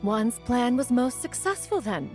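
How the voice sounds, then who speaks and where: A woman's voice: fairly high pitch, very animated, a little slow, over music. A woman playing a haughty, imperious immortal in a game, stately and pointed in an archaic way. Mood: satisfied. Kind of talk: scripted game voice acting.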